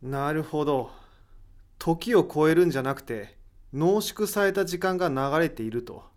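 A man's voice: fairly high pitch, animated, normal speed, lightly acted. A man playing an inquisitive, analytical character in an audio drama, musing his way through a mystery. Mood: thoughtful, intrigued.

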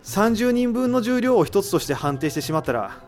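A man's voice: high-pitched, sing-song, a little fast, gravelly, faint music behind, heavily acted. A man acting in an audio drama as an analytical figure who reasons out a mystery in explanatory speech. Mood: explanatory, assured. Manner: explanatory, rhetorical questioning. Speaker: voice actor in an amateur audio drama. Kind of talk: dramatic dialogue, explaining deductions.

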